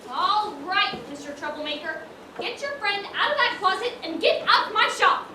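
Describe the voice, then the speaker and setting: A woman's voice: extremely high pitch, lively intonation, normal speed. A woman acting a flustered, bossy dress shop keeper in an amateur stage comedy. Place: school theatre stage, distant microphone. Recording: echoey stage from far off, noisy.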